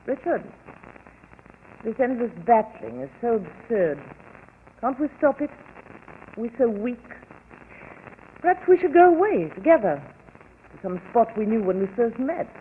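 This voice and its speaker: An actress playing an anxious, bitter upper-class wife in a 1940s radio drama, with an overwrought, theatrical delivery. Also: female voice, on the high side, very animated, a little slow, long pauses. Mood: coaxing. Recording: old radio broadcast, lo-fi and hissy, long reverb.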